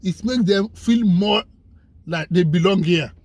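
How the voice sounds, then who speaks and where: Guy with a husky kinda high voice, guest on a talk show, pushes his points hard w lists and questions. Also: a little slow, darkish tone, dry recording. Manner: emphatic, builds points through lists and rhetorical questions. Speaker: guest commentator. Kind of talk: conversational discussion.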